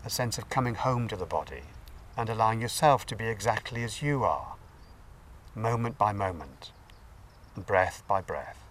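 A man leading a guided meditation as a teacher, giving gentle, unhurried instructions with pauses. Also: on the low side, animated. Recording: distant mic, faint background noise.

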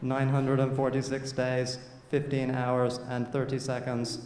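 A synthetic-sounding male voice giving stilted, literal answers in a flat, robotic manner. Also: medium pitch, a little slow. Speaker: chatbot test subject. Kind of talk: stilted question-and-answer replies. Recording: echoey hall, some noise.

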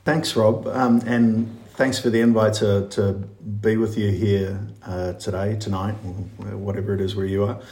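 Male academic, New Zealand accent, very low voice, echoey room, friendly explainer. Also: little pitch movement, a little slow, few pauses, darkish tone. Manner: friendly, lots of "uh" fillers. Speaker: professor, guest expert. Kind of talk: explanatory interview answer.

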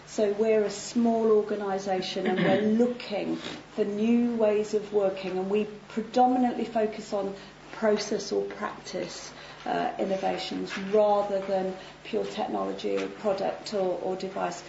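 Female panelist, hoarse after laryngitis, lo-fi roomy sound. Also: mid-range voice, fairly even intonation, unhurried, dark tone, a little hiss or hum. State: recovering from laryngitis, croaky. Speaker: panel speaker, nurse turned health manager. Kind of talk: conference panel talk. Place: conference hall.

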